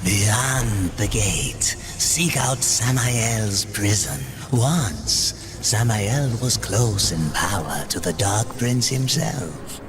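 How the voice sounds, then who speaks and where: An actor playing a sly demon soul trader, smooth and coaxing as he bargains. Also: fairly low pitch, drawn-out words, few pauses. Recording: noisy game ambience behind the voice.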